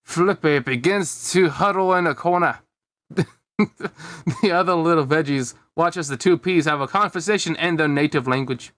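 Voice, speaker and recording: A man's voice: on the high side, normal speed, fairly bright tone, no room sound. A man reading a fan fiction story aloud casually, often breaking off to comment on it with a chuckle. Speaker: amateur fan fiction reader.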